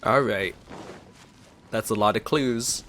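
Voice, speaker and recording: A man's voice: lowish voice, slow pace, a bit bright, a little hiss or hum. A man giving casual, offhand let's play commentary on a video game in a laid-back manner. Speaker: gaming video creator.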